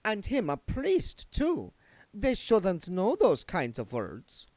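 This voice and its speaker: A man's voice: high voice, wildly swooping pitch, slow pace, darkish tone, dry recording, pitched above the performer's own voice, full character voice. A man voicing a haughty older woman, an affected, stiff vampire countess in a book read aloud. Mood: prim.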